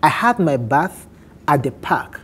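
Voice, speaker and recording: A man in his thirties from Nigeria with an Igbo accent, telling his life story candidly on a talk show, animated and often laughing. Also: on the high side, unhurried, slightly rough. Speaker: guest on a men's talk show.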